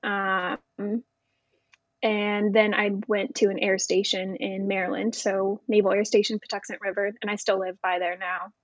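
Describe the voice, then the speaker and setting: A woman's voice: medium pitch, little pitch movement, unhurried, dry recording, lo-fi sound. A woman speaking casually as an interview guest, explaining things in an informal way. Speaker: podcast guest, Navy veteran.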